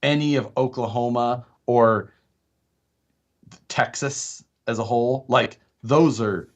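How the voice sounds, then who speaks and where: A man's voice: mid-range voice, slow. A man with a nasal voice in casual live-stream chat as a guest, rambling and laughing often.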